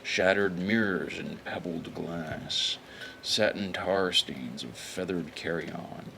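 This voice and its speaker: A man acting a hardboiled noir private eye with a very low voice. He is brooding and deadpan, always ready with a dry wisecrack, as in a pulp audio drama. Clear background noise and ambience surround him. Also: slow, heavily acted, rough recording.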